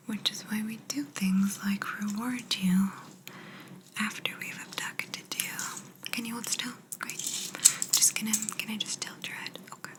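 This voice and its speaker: Slow whispering female alien examiner, acted by a woman. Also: mid-range voice, fairly even intonation, close to an everyday voice, low-quality recording. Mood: musing, faintly superior. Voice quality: soft, breathy whisper. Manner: slow, gentle, hesitant musing. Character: calm, politely superior female alien examiner aboard a spaceship. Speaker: ASMR performer. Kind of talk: ASMR sci-fi roleplay.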